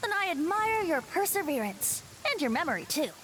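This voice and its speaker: A playful, teasing bard. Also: female voice, extremely high pitch, sing-song, brisk, fairly bright tone, a little hiss or hum, exaggerated voice, low-quality recording. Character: playful, musing young bard. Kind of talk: video game dialogue.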